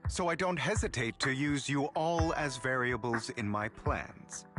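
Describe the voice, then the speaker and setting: An actor playing a suave, sardonic gentleman thief and con artist in a video game, with a grandiose, silky, deliberate delivery. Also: medium pitch. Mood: calm, earnest. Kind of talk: dramatic video game voice acting. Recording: background music under voice.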